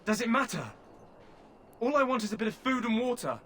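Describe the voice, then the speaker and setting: Caged deserter, hungry and cheeky; wry, wheedling delivery. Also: male voice, very high voice, animated, brisk, fairly bright tone, a little hiss or hum. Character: hungry, flirtatious male prisoner, a deserter. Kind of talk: video game voice acting.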